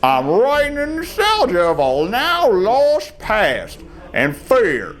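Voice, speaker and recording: A woman's voice: mid-range voice, huge pitch swings, slow. A woman giving a theatrical, solemn dramatic reading of a manifesto over choral music. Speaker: reader. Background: dramatic orchestral choral music.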